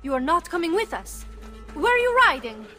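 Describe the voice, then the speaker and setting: Anxious sister role, young, pleading, high and very lively intonation, game drama. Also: female voice, brisk, soft background music, full character voice. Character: anxious young noblewoman, loyal sister of the hero. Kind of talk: video game cutscene acting.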